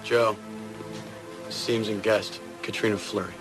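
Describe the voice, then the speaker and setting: A streetwise detective partner, blunt and casual. His voice sits a little low in this crime drama, with music clearly behind it. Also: little pitch movement, takes it slowly. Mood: businesslike.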